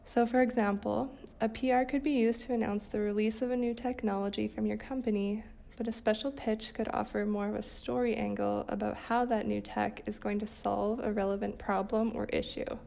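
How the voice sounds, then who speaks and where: Female PR account manager, scripted explainer. Heavy vocal fry, dark soft tone. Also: medium pitch, flat delivery, fairly quick. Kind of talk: scripted explanatory podcast answer.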